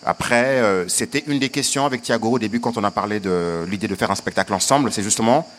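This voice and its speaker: A man from Senegal, an actor answering interview questions in an earnest, hesitant way with many pauses. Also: fairly low pitch, a bit bright.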